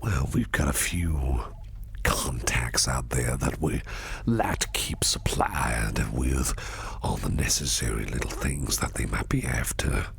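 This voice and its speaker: A man playing a shady businessman boss in an ASMR roleplay, flirtatious and protective, murmuring in a whispery gravelly voice with slow, teasing deliberation. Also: very deep voice, a little hiss or hum, full character voice. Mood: reassuring, sly. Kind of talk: ASMR roleplay, close personal attention.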